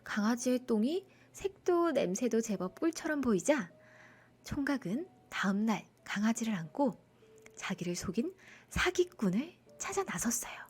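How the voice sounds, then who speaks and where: Female storyteller, high pitch, lively intonation, soft breathy tone, expressive children's folktale narration. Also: normal speed.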